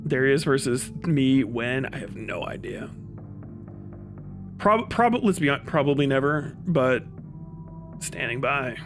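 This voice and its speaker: A man casually chatting and reacting on a livestream, with halting, slangy, profane speech. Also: mid-range voice, animated, music in the background. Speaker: livestream host.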